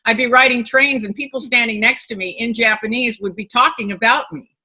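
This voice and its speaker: Bright-voiced woman telling stories animatedly. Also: on the high side, a little fast, slightly rough, poor audio. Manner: animated storyteller, emphatic and candid. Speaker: author guest. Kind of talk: interview on a video call. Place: home room with bookshelves. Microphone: video call.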